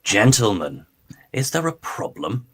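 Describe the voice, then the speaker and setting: A man playing a menacing, mock-polite scientist villain with drawn-out delivery in a sci-fi radio theatre read. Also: on the low side, big pitch swings, fairly bright tone, heavily acted. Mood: mock-polite menace. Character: smooth-talking menacing male scientist villain.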